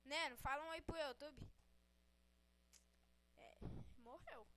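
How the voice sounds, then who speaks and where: A woman recording a casual gaming video, trading loud slang-filled jokes and teasing the others. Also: sits high, slow. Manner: loud teasing slang-heavy banter with frequent laughs. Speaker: video maker. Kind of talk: casual joking chat while recording gameplay. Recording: very noisy home recording.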